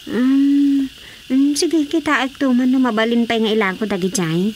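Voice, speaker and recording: A woman's voice: high voice, moderate pace, darkish tone, a little hiss or hum, close to an everyday voice. A woman with an Ilocano accent acting in a radio drama as a worried, doubtful villager in everyday conversation. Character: worried, doubtful woman short of things to sell.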